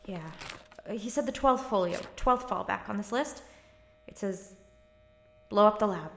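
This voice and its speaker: A woman's voice: medium pitch, expressive, a little slow, vocal fry, fairly bright tone. A woman playing a determined, anxious young college student in an audio drama. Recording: roomy, faintly noisy recording.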